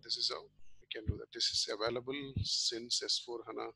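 A man giving an informal screen-share software tutorial as a trainer, explaining step by step in halting short phrases. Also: medium pitch, fairly even intonation, vocal fry, slightly rough, dry recording, rough recording.

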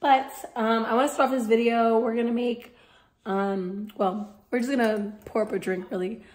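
A young woman with a hoarse, congested voice from a cold, chatting casually in her home kitchen with lots of fillers. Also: a little high, steady tone, normal speed. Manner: chatty, rambling with many fillers. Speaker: vlogger. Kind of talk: casual vlog chat.

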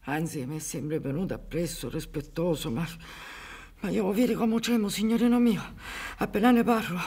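A woman acting in a radio drama as a humble, anguished old peasant mother, halting and pleading in a husky voice. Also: on the low side, darkish tone.

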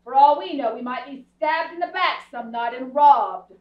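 Woman acting haughty high-voiced society daughter. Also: normal speed, lightly acted. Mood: snide. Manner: sharp-tongued, indignant. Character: haughty, snide, spoiled young society daughter. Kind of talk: staged radio play reading. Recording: echoey stage, far mic, muffled.

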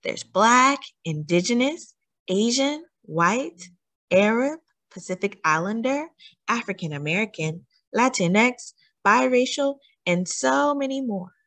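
A woman reading a children's picture book aloud as a story time host, with deliberate, teacherly emphasis and questions to young listeners. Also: a little high, lively intonation, fairly bright tone.

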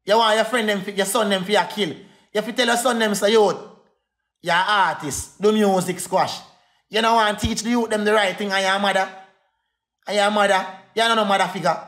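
Jamaican guy going off on a phone livestream, high voice, repeats himself nonstop. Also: steady tone, a bit bright. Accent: Jamaican Patois. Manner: repetitive, insulting, fast heated rant. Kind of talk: angry livestream rant.

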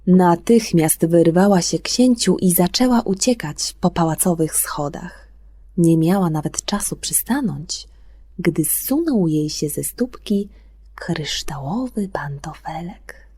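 A woman narrating a scripted fairy tale for children on the radio, expressive, often dropping into breathy near-whispers. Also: fairly low pitch, slow, no room sound. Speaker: storyteller on a children's radio show.

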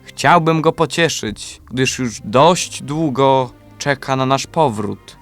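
A man's voice: medium pitch, takes it slowly, faint music behind. A man playing a devoted, sorrowful young prince, earnest and solemn, in a children's radio play. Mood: sad.

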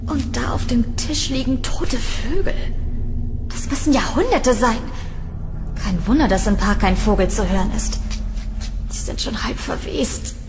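A woman playing a curious, uneasy young tenant in a horror audio drama, with expressive delivery. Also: a little high, a little slow, close to an everyday voice. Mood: disgusted. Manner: expressive, talking to herself. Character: curious, uneasy young female tenant exploring a creepy house. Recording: music behind the voice.

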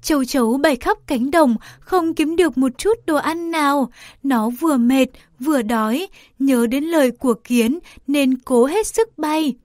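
Female storyteller for kids' tales, high voice, careful phrasing, slight noise and clicks on the recording. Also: a little slow, a little mellow. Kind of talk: scripted children's story narration.

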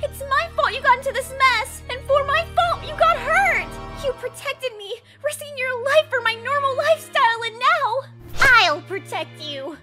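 An extremely high, wildly swooping cartoon hedgehog girl. She is excitable and devoted, squealing and pleading with great melodrama, with music playing loudly behind her. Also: moderate pace. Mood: desperate, protective. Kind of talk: cartoon comic dub acting.

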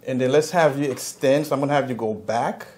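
A man's voice: mid-range voice, a little fast, darkish tone. A middle-aged man working as a chiropractor, explaining the exam to a patient and often checking in with short questions. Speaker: chiropractor, video host. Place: small indoor clinic treatment room. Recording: clear background room noise.

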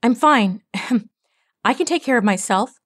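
A woman's voice: on the high side, animated, slow pace, no echo. A woman voicing a grumpy, bookish young male earth pony who grumbles in a proud, well-spoken way. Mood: grumbling.

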